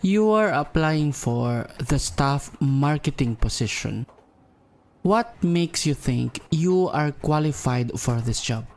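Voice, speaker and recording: A man's voice: on the high side, lively intonation, slow pace. A man playing a curt, haughty, impatient company boss in a romance audio drama, stern and brusque, with a Filipino accent. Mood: cool, testing.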